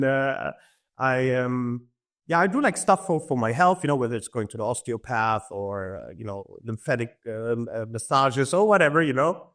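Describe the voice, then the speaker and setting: A man's voice: mid-range voice, a bit bright. A man, a podcast guest talking in an easygoing way with frequent chuckles and fillers. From Germany. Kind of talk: casual interview talk.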